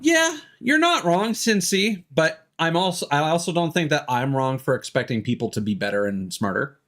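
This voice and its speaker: A man's voice: a little high, lively intonation, moderate pace, a bit bright. A man chatting casually as he streams a game, rambling into sarcastic, exasperated rants. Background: game music.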